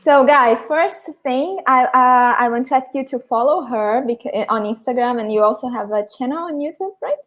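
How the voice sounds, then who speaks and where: A woman's voice: high-pitched, normal speed, few pauses, a bit bright. Brazilian woman teaching on a live stream. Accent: Brazilian Portuguese. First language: Portuguese. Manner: addresses viewers directly, restarts often. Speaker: host and Portuguese teacher. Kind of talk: casual live class chat.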